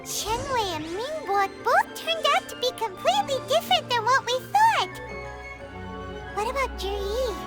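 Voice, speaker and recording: A woman voicing a small, childlike fairy companion in a game, curious, naive and excitable, with a squeaky voice. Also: measured pace, heavily acted. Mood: curious, puzzled. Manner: inquisitive, excitable. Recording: loud music under the voice.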